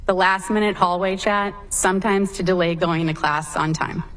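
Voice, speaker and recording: A woman on the school staff giving warm, affectionate farewell remarks to graduates, with a light joke. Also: fairly low pitch, steady tone, normal speed.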